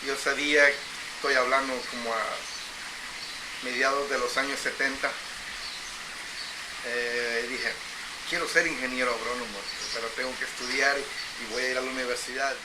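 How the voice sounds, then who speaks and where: A middle-aged man from El Salvador, telling his life story as an interview guest in a reflective, unhurried way, often repeating phrases. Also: medium pitch, steady tone, heavy background noise. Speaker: interview guest, agricultural engineer.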